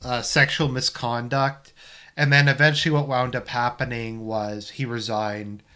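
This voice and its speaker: A man hosts a casual live stream, talking loosely over the clips he plays. His tone is sarcastic and rambling, and his pitch sits in an ordinary middle range. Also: flat delivery, normal speed, sharp tone, clear, resonant tone.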